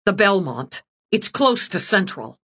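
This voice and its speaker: A husky-voiced woman reads a young detective partner in an audiobook, matter-of-fact and brisk, with a lively lilt to her pitch. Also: exaggerated voice.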